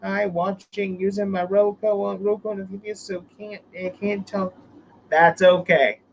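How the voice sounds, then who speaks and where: A man hosts a relaxed live stream, his voice worn and hoarse from a recent illness. He sits fairly high in pitch and rambles, restarting his sentences often. A faint background hum sits under him. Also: normal speed, low-quality recording. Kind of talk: casual live stream chat.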